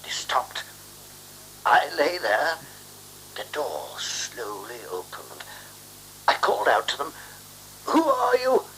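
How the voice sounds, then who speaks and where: Frightened victim in 1970s radio drama; halting, intense, very animated, faint hum behind. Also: male voice, on the high side, exaggerated voice, low-quality recording. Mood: haunted recollection. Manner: halting, brooding, intense monologue delivery. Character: beaten, frightened man haunted by his robbery.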